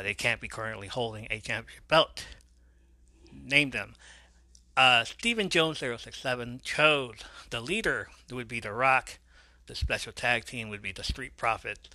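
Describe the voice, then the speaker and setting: Bright-voiced man hosting a podcast. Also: medium pitch, dry recording. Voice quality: soothing voice. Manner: relaxed, rambling, reads out listener names. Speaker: podcast host. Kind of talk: casual podcast shout-outs and outro.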